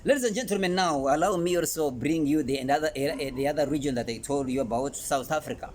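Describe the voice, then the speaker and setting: A man with a Ugandan accent presenting an educational talk in an emphatic, halting way, often repeating phrases. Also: on the high side, a little mellow. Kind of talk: informal educational presentation to camera. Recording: noticeable background noise.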